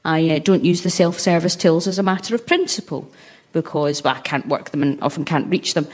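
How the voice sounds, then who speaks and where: A woman's voice: deep voice, brisk. A woman comedian bantering on a comedy panel show in front of a live audience. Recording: live audience, noisy crowd behind. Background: studio audience laughter.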